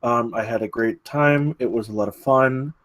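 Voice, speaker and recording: A man with a mid-pitched voice talks through notes in a casual way. He is hesitant and rambling, repeating words as he works toward each point. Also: moderate pace, fairly bright tone. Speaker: table read participant giving script feedback. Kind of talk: casual spoken critique.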